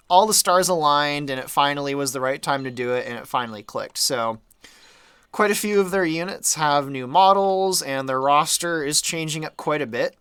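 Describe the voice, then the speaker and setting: Young man, mild sore throat, dry close sound, bright crisp tone, easygoing rambling chat. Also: mid-range voice, no echo. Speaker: game modder and channel host. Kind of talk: casual development update talk.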